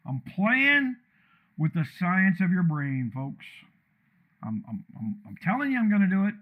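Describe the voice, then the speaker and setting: A man with a deep, commanding voice that sits somewhat high rants bluntly and loudly, wandering from point to point. He sounds like a casual live-streamer talking off the cuff. The sound is thin and tinny, like a phone line. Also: very animated, measured pace. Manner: blunt, loud, rambling. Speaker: streamer tuning his microphone. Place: untreated room with echo and a computer fan.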